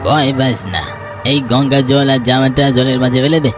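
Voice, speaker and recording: A grave tantric ascetic, put on by a male narrator, speaking as one who knows all. He is solemn and commanding, in cartoon ghost-story style, with music clearly behind. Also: medium pitch, steady tone, normal speed, lightly acted.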